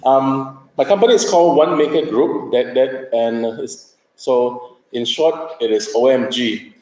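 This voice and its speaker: A man speaks slowly to a hall, his voice mellow and dark. He hesitates often with ums and drops light jokes as he presents. The sound carries a roomy echo. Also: mid-range voice. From Singapore. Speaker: conference speaker. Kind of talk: presentation talk.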